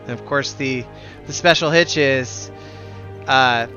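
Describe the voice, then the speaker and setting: A man's voice: mid-range voice, slow, pushed and bright, muffled recording, faint music behind. A man streaming a game live, chatting casually in a friendly, rambling way. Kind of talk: casual chat while streaming.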